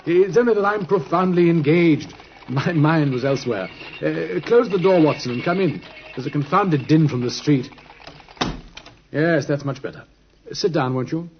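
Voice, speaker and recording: An actor with a British accent playing a brisk, absorbed, genial gentleman detective in an old radio drama, speaking precisely and urbanely. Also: on the high side, slight background noise, lightly acted. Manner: animated, precise, urbane storytelling. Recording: muffled, husky old radio recording.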